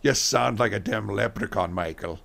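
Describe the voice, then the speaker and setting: A man's voice: fairly low pitch, normal speed, gravelly, fairly bright tone, a little hiss or hum. An actor playing a blunt, joshing working man in a radio mystery play. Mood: hearty, joking. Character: gruff, joshing working man on late shift.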